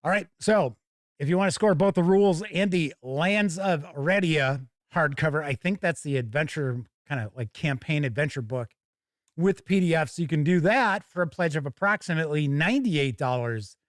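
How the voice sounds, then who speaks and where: A man's voice: fairly high pitch, expressive, normal speed, dry recording, clean studio sound. An American man hosting a live tabletop gaming news show, mixing scripted items with casual, theatrical, jokey asides. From United States.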